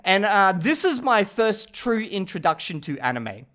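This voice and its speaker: A man's voice: high-pitched, big pitch swings, normal speed, a bit bright. A man hosting a casual talking-head video, rambling and chatty, often stopping to check in with the viewer. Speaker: channel host reviewing his language learning.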